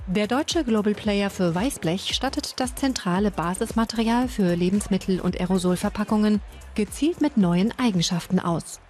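A woman narrates a television news report in a scripted voice-over. Her read is smooth and even, at a middle pitch, with faint music underneath. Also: normal speed.

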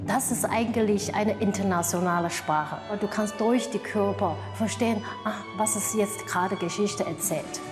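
Lady artist, halting second-language german, music playing behind. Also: mid-range voice. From Taiwan. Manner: non-native German, halting with many "äh" fillers. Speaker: dancer and artist.

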